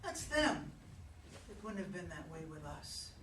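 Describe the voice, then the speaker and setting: A woman in a play reading, playing a wounded, sharp-tongued jilted fiancée with a blunt, challenging manner. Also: lowish voice, sing-song, a little slow. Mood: angry, challenging. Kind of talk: dramatic stage play reading. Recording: roomy, distant microphone, faint noise.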